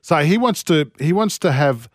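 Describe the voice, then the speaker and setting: A man's voice: fairly high pitch, expressive, normal speed, dry recording, studio quality. A man co-hosting a radio show, reading out news stories in casual, teasing banter. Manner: teasing, cheeky, jokey. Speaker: radio co-host.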